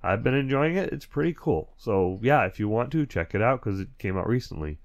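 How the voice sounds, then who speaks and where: A man's voice: medium pitch, animated, brisk. A man hosting his own podcast in a casual, rambling way, talking through a lingering cold with a dry, stuffy throat. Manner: rambling and self-correcting, with chuckles. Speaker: podcast host. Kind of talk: casual solo podcast chat.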